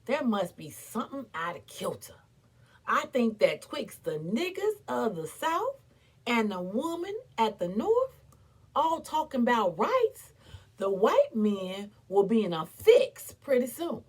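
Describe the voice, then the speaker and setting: A woman giving a dramatic, theatrical recitation as an impassioned, defiant, weary but proud orator, with emphatic repetition and long pauses. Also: on the high side, very animated, husky. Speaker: performer reciting a historical speech.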